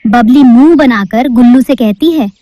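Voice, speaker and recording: A woman's voice: on the high side, moderate pace, warm tone, no room sound, clean studio sound. A woman narrating a cartoon story in a storybook-telling manner.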